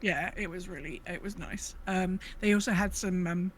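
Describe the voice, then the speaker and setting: A woman co-hosting a podcast in casual, halting talk, often rephrasing as she goes. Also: lowish voice, slight background noise. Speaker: podcast co-host. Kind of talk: casual chat and informal news round-up.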